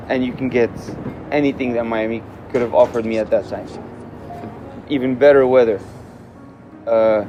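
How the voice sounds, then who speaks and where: A young man from Morocco answers questions in a relaxed, candid way. He leans on plenty of "uh"s as he thinks aloud. His voice sits at an ordinary middle pitch, with a clear music bed underneath. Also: rough recording. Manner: candid, easygoing, uses many fillers. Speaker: interviewee. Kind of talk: casual interview answers.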